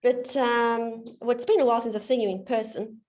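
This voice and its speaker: Woman, coach, slightly high voice, friendly and explanatory, video call mic. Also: fairly even intonation, fairly quick, a little mellow. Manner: friendly, explanatory, talks the guest through his beliefs. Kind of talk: live coaching demonstration in an online session.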